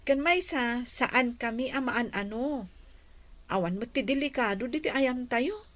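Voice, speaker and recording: A woman's voice: on the high side, lively intonation, a little slow, fairly bright tone. A woman playing a polite, hesitant young daughter who is being courted, in a radio drama. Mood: chatty.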